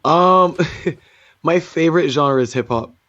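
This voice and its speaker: A man from Barbados, a DJ guest in a casual interview, relaxed and quick to laugh. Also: a little high, no echo, muffled recording. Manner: relaxed, laughs easily, loose fillers.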